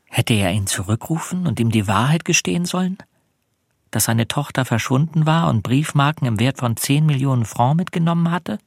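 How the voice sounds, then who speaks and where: A man's voice: mid-range voice, lively intonation, moderate pace, vocal fry, no echo, studio quality. A man acting a shy, modest middle-aged bachelor in a radio play, with a hesitant, subdued way of speaking. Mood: brooding, troubled.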